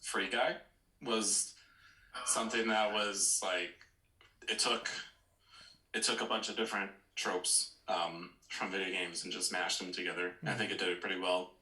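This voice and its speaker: A man co-hosting a casual discussion, explaining his opinion in a measured way. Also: low-pitched, little pitch movement, pushed and bright. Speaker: podcast co-host. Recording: roomy echo.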